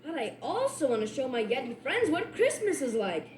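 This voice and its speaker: Cartoon boy ranger, bright and bouncy; high, lively voice, roomy and a bit off-mic over loud music. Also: moderate pace, recorded from a distance, slight background noise. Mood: eager. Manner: eager, upbeat commands. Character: cheerful young boy park ranger.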